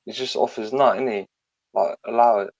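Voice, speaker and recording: A man's voice: mid-range voice, fairly even intonation, a little fast, a little mellow. A man calling into a stream, dismissive and taunting, full of slang. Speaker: caller. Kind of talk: mocking call-in. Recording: muffled call audio.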